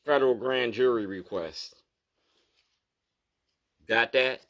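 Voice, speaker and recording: A man's voice: mid-range voice, slow, fairly bright tone, dull audio. A man, an activist talking straight to the camera as he goes through his documents, insistent and repeating "you feel me?" to drive each point home. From Baltimore, USA. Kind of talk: direct-to-camera monologue going through documents.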